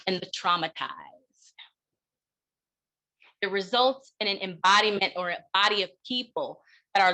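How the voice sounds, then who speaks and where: Bright, forceful woman giving a keynote. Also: mid-range voice, rough recording. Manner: deliberate, emphatic, dramatic pauses. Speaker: keynote speaker, counseling clinician. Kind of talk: keynote speech.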